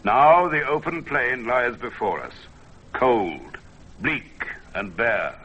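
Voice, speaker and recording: A man giving a solemn, theatrical, measured scripted narration for a radio documentary. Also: medium pitch, expressive, bright, forceful tone, breathy edge, muffled recording. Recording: band-limited vintage radio recording, music behind. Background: music.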